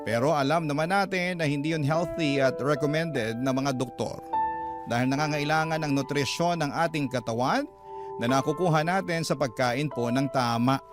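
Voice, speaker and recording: A man's voice: a little high, moderate pace. A Filipino man hosting a radio drama, reading a listener's letter as dramatic scripted narration. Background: music behind the voice.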